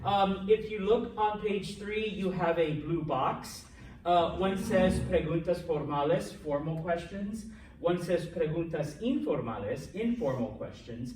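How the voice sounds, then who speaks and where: A male language instructor giving a relaxed classroom lecture, joking and checking in with students often. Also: on the high side, measured pace. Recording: echoey classroom from a distance, faint noise.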